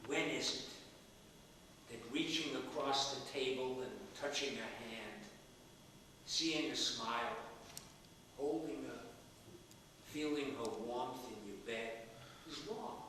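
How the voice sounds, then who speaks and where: A man's voice: medium pitch, slow, pauses often, bright, forceful tone. An older man acting on stage as a roguish, stubborn, affectionate elderly father, pleading his case with theatrical persuasion. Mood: impassioned. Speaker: stage actor. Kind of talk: stage play dialogue. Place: theatre stage, recorded from the audience area. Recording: echoey theatre hall, distant mic, faint noise.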